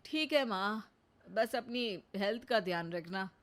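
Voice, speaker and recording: A woman's voice: medium pitch, expressive, a little slow, fairly bright tone. A woman voicing a caring, reasonable adult son with a mild, coaxing manner in a cartoon story. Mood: placating.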